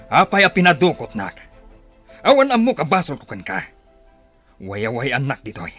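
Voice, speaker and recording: A man playing a proud, defiant captive leader in a radio drama, raspy and impassioned. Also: mid-range voice, huge pitch swings, moderate pace, fairly bright tone, faint music behind. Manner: impassioned, challenging. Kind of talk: Filipino radio drama acting.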